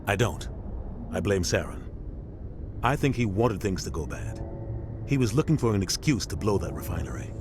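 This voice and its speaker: A man's voice: sits low, animated, normal speed, pauses often, over music. A man playing an embittered, weary veteran navy captain in a video game. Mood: resentful, resigned.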